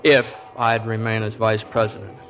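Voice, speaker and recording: An actor playing an earnest, restless small-town man with an American accent, halting and repeating himself, in radio drama style. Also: on the low side, flat delivery, a bit bright, natural voice. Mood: reflective, wistful. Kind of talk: old radio drama acting and narration. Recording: old radio recording, faint music behind.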